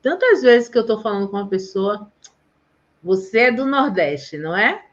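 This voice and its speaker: A woman's voice: mid-range voice, sing-song, moderate pace. A woman from Bahia in Brazil with a Bahian accent, talking in a chatty and emphatic way as host of a live stream. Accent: Bahian Portuguese. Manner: chatty, emphatic, says "gente" often. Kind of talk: casual live chat. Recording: dull lo-fi stream audio.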